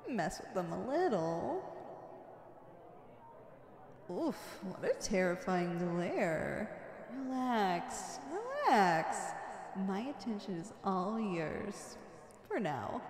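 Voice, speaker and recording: In a large, echoing space with long reverb, a woman plays a female supervillain speaks in a theatrical, playful way at a medium pitch. She is flirtatious and teasing, with righteous conviction underneath, in the manner of an ASMR roleplay. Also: a little mellow. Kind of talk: ASMR roleplay, scripted acting. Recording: echoey hall-like reverb.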